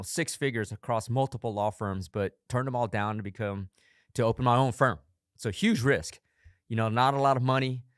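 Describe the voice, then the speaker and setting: A man's voice: lowish voice, smooth, no echo. A man in his forties hosting a podcast in a studio, telling stories with upbeat enthusiasm in a motivational monologue. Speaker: podcast host.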